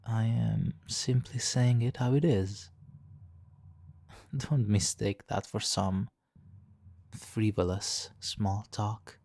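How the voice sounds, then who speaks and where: A man playing a suave, teasing, hypnotically controlling supervillain in a soft, intimate ASMR roleplay, condescending and purring, breathy in places. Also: on the low side, steady tone, heavily acted. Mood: smug, teasing. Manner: condescending, purring, drawn-out pauses, soft chuckles.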